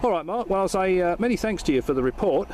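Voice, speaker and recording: A man's voice: high-pitched, animated, fast talker, a little mellow, slight background noise. A man talking casually and jokily as an amateur radio operator and video host, recorded inside a car. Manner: jokey, matey, informal. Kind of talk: casual radio chat and vlog narration.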